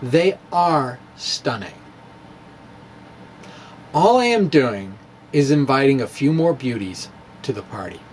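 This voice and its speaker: A man's voice: medium pitch, big pitch swings, slow pace, pauses often, darkish tone, a little hiss or hum. A man giving a dramatic reading of his own physics blog in a wry, playful way and laughing at his own jokes. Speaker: physics blogger.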